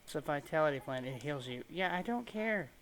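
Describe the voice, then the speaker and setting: A man's voice: on the high side, animated, a little fast, fairly bright tone, a little hiss or hum, poor audio. A man giving casual, sarcastic let's play commentary, grumbling impatiently as he plays a video game. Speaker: gaming video creator playing and commenting.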